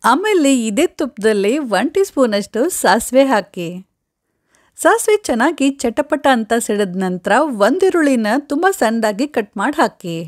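Middle-aged woman, cooking host, friendly instructive delivery, animated pitch, dry lapel sound. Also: no echo. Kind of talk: step-by-step recipe instructions. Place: home kitchen, indoors. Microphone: lapel mic.

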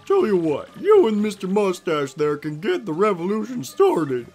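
A man's voice: high voice, wildly swooping pitch, normal speed, darkish tone, pitched above the performer's own voice, exaggerated voice. A man voicing a grumpy, doubtful old creature who scoffs at the hero in a game, over game music. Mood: scoffing. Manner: scoffing, sarcastic.

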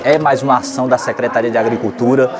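A young Brazilian man giving an on-camera statement outdoors in a schoolyard, speaking loud and steady into a lapel mic. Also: medium pitch, fast. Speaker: municipal department official. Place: outdoor schoolyard beside a large crowd. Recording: crowd chatter behind.

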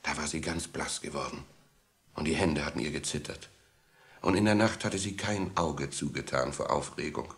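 A man narrating a children's audio drama as an expressive storyteller speaking to young listeners. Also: extremely low pitch, vocal fry.

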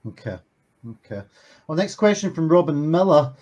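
A man hosts a question session on a video call, his voice sitting fairly high for a man. He reads each audience question slowly, with frequent ums and restarts. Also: big pitch swings, darkish tone. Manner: hesitant, filler-heavy, reads questions slowly. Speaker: webinar host reading audience questions. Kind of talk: hosting a webinar Q&A.

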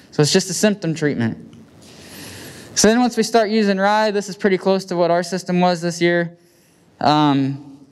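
A man with a Midwestern American accent speaks plainly and informally, like a farmer presenting to peers. His voice sits a little high for a man and is very clean and smooth. He talks into a handheld microphone in colloquial, practical language. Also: a little mellow. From United States. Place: indoor meeting room with seated audience.

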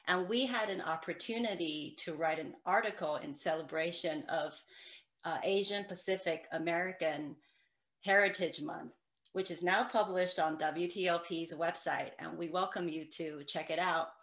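A woman's voice: lowish voice, normal speed, a bit bright. A middle-aged woman raised in Taiwan with a Taiwanese accent, an attorney speaking earnestly on a video call from home. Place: home room with piano. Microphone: video call webcam. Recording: echoey room.

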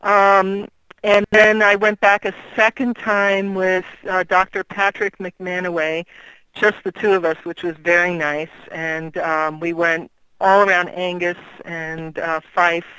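A woman's voice: lowish voice, level tone, measured pace, pushed and bright, clear, resonant tone, no room sound, low-quality recording. A middle-aged woman guesting on an interview show, chatting in a rambling way with fillers and easy laughs. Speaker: guest author. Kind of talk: casual interview chat.

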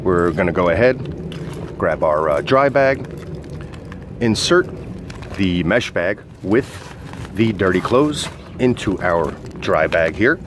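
Male gear reviewer, low register, informal hesitant how-to delivery, faint ambient noise behind. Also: expressive, slow pace, long pauses. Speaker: product reviewer and outdoor coach. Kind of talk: casual product demonstration and review.

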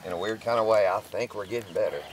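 A man's voice: fairly low pitch, fast, low-quality recording. A man working as a fishing guide, chatting casually with his companions on an open boat. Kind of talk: casual chat while fishing. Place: small open boat on a lake, outdoors. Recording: outdoors on a boat, background chatter.

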